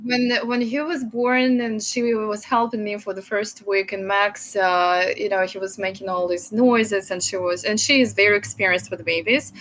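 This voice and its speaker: A woman with a Russian accent speaks as a guest on an interview show, recounting her experience as a mother. She talks softly and a little haltingly, pausing to find words and laughing often as she goes. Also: mid-range voice, steady tone, slight background noise, low-quality recording. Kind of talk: conversational interview storytelling.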